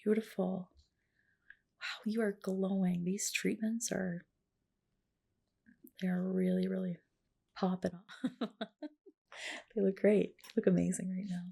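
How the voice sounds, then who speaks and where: A woman with a soft, breathy, whispering voice doing a gentle, reassuring ASMR roleplay as a spa practitioner, close to the microphone. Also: on the low side, little pitch movement, measured pace, pauses often. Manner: gentle, reassuring client-care talk. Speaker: ASMR creator playing a medical spa practitioner. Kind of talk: ASMR roleplay, personal attention.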